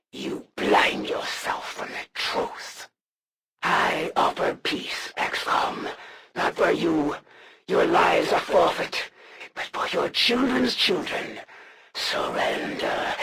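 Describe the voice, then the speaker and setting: A zealous alien assassin from a video game, haughty and menacing. The delivery is slow and formal, swinging dramatically in pitch, with some noise on the recording. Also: fairly bright tone, heavily acted. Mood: solemn, threatening. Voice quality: gravelly, heavy creak. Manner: menacing, imperious, theatrical, formal. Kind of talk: video game villain voice lines.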